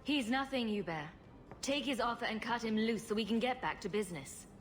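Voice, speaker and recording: A woman playing a wry, expressive rogue. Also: on the high side, brisk, soft background music, lightly acted. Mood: firm, persuasive. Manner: sarcastic, cool, commanding. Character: confident, wry young female rogue adventurer. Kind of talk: video game cutscene acting.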